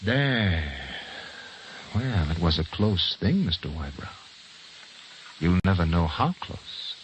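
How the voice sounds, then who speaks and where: A man's voice: low-pitched, big pitch swings, slow pace, a little hiss or hum, exaggerated voice, rough recording. An actor playing a menacing, taunting narrator in an old radio thriller, speaking theatrically and insistently straight to his victim. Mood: urgent, ominous. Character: menacing, taunting male narrator stalking a doomed man with words. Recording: old radio drama with music behind.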